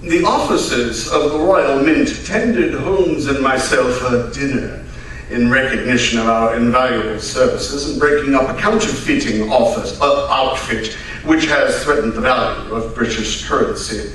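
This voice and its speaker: A man plays a genial gentleman recounting detective adventures in a live radio play. His mid-pitched voice is measured. It comes from some distance in a heavily echoing hall with faint noise. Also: lightly acted. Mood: genial, storytelling. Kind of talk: old-time radio drama narration, live performance.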